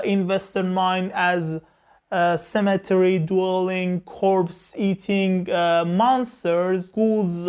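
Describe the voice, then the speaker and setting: Woman, film review host, unhurried pace, measured lecturing tone, frequent "uh" pauses. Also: mid-range voice, a little mellow, clear, resonant tone. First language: Persian. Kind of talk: explanatory film review talk.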